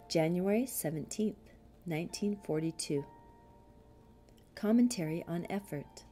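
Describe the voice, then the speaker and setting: Slow, low-pitched woman reading aloud. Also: dark tone. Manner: measured, deliberate, with frequent pauses. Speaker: reader of a spiritual commentary. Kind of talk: scripted audiobook reading.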